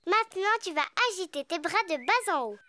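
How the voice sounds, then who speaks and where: A performer voicing a bossy, excitable little girl pig in a French children's cartoon, perky and cheeky. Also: extremely high pitch, fairly quick, slight background noise, exaggerated voice.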